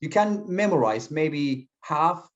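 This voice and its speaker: A man's voice: a little high, animated, slow. Hesitant Syrian-born man, lo-fi audio. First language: Kurdish. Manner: hesitant, many fillers and restarts. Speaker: guest, English teacher. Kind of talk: casual interview conversation. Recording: slightly dull lo-fi audio.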